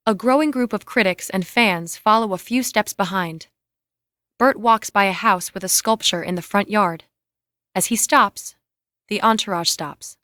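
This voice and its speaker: A woman reads screenplay scene directions as a narrator, with a mid-range pitch. Her voice is recorded dry, with hardly any room around it. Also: fairly bright tone, no room sound. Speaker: reader of stage directions.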